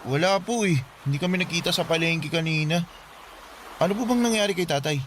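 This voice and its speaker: Man acting high-voiced, worried dutiful young son. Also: fairly quick, slight background noise, lightly acted. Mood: puzzled. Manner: respectful, anxious questioning. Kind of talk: radio drama acting. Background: music.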